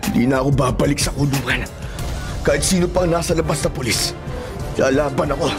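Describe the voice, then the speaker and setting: A Filipino man playing a desperate, defiant fugitive older brother in a radio drama, shouting, taunting and bitter. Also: on the high side, fairly quick, close to an everyday voice. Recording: dramatic music and commotion behind.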